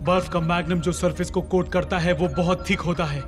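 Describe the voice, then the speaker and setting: A man's voice: sits high, fairly even intonation, fairly quick, soft background music, lightly acted. A man playing a cautious, analytical team member in a Hindi-dubbed cartoon. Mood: wary, explaining. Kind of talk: animated action cartoon dub in Hindi.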